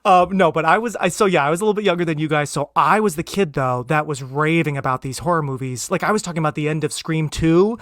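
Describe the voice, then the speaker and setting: A man's voice: fairly high pitch, fast talker, hardly any pauses, a bit bright, no echo, studio quality. A man in his thirties from New Jersey with a slight Jersey accent, chatting enthusiastically as a podcast host and saying "like" often. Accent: American, slight New Jersey. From Southern New Jersey, United States. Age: 30s. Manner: chatty, enthusiastic, peppers talk with "like". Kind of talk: casual movie podcast chat.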